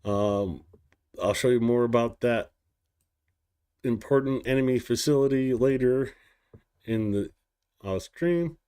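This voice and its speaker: A man hosting a daily news stream, reading war reports aloud slowly and stumbling over hard foreign place names, with casual asides. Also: mid-range voice, dark tone, clean tone. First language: English. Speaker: news show host.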